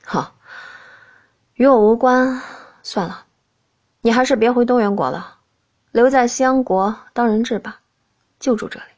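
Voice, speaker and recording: A woman's voice: on the high side, measured pace, pauses often. A woman voicing a young crown prince who is cool, haughty and languid, in an audiobook reading. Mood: languid, aloof. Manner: aloof, languid, terse. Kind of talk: audiobook character acting.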